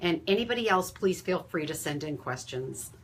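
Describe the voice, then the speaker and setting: A woman hosting a healthcare talk, reading aloud and chatting casually with steady noise behind her. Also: deep voice, moderate pace. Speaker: host and healthcare provider. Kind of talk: reading a rhyming story aloud, then casual live chat.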